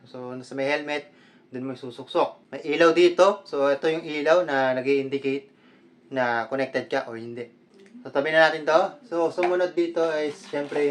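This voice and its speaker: A Filipino man with a mid-pitched voice talks as a vlogger showing off a product. His delivery is casual and conversational. He slips between Tagalog and English as he explains things. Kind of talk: casual product unboxing vlog.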